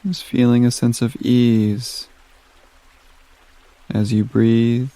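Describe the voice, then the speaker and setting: A man leading a guided meditation in a gentle, soothing manner, giving soft instructions with long pauses. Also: medium pitch, little pitch movement, dry recording.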